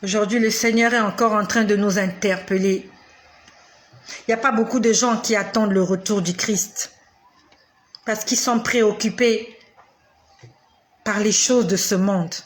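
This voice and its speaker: A woman's voice: medium pitch, fairly even intonation. Emphatic woman preacher in her forties. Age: 40s. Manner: slow, emphatic repetition with dramatic pauses. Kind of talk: sermon addressed to the listener.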